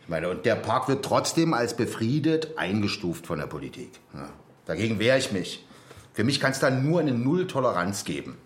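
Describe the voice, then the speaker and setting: An actor playing a flustered conservative male politician in a radio drama, speaking haltingly with muttered hesitations. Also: fairly low pitch, big pitch swings. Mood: indignant, firm. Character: flustered, conservative older male politician, anti-drug hardliner.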